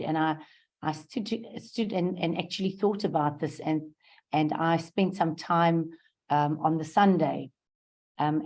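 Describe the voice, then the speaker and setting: A hoarse woman gives earnest, halting feedback on a video call, with many pauses and ums. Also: low-pitched, darkish tone. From South Africa. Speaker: guest speaker sharing event feedback. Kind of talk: unscripted testimonial on a video call.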